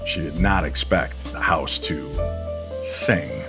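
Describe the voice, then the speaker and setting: A man telling a mysterious story in old-time radio style, expressive and measured, with weighty pauses. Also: very deep voice, creaky. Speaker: co-narrator of an audio story series. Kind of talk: dramatic scripted story narration in old-time radio manner. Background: music under the voice.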